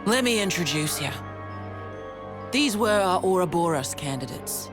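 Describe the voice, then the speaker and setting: Composed British rebel leader, music underneath. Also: female voice, fairly low pitch, expressive, measured pace. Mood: composed, assured. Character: composed, frank woman leading a rebel force. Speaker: resistance leader. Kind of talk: game cutscene acting. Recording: loud game music underneath. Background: game score.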